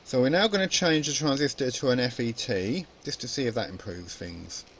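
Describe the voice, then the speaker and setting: A man's voice: mid-range voice, lively intonation, a little fast. Casual explanatory man, faint background noise. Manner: casual, explanatory, thinking aloud. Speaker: electronics hobby presenter. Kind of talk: informal spoken tutorial demonstration.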